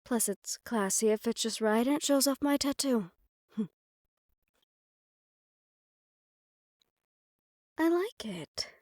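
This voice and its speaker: A woman's voice: fairly high pitch, expressive, moderate pace, darkish tone, no echo, studio quality, natural voice. Casting: female actor as composed, nervy right-hand woman to a crime boss, intimate audio drama delivery. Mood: pleased, nervous. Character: poised, anxious female right-hand assistant to mafia boss. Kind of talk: intimate audio roleplay acting.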